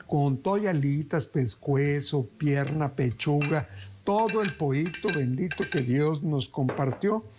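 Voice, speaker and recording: A man's voice: a little high, darkish tone, a little hiss or hum. A middle-aged man, hosting a TV cooking show in a folksy way and talking to viewers as family. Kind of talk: TV cooking demonstration. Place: TV kitchen studio set.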